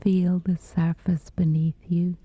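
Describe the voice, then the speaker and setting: A woman gently guiding a scripted sleep meditation in a soothing, breathy whisper, unhurried throughout. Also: on the low side, flat delivery. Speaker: meditation guide.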